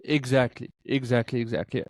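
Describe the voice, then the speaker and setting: A man with an Afrikaans South African accent, interviewing a guest with halting questions full of fillers. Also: medium pitch, level tone. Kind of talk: interview conversation.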